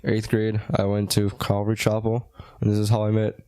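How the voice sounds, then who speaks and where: A young man in his late teens from Hawaii co-hosts a casual podcast. He banters in a joking, slangy way, and his voice sits on the low side. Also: completely flat delivery, brisk, mellow, clean tone. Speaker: podcast co-host.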